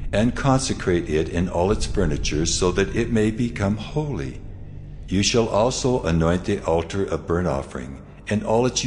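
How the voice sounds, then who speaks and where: A man playing the Lord God, a solemn deity giving measured, authoritative instructions in a dramatized scripture recording. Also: deep voice, a little mellow, slight background noise.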